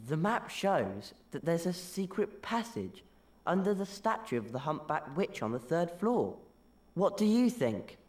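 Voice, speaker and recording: A man's voice: on the high side, very animated, measured pace, darkish tone. A male actor playing an earnest, eager schoolboy wizard hero in a video game, speaking in a keen, straightforward way. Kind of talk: video game cutscene acting.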